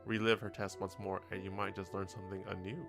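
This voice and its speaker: A man's voice: sits low, level tone, fast, over music. A man hosting a gaming video with casual, slangy commentary, reacting aloud to the game. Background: game soundtrack.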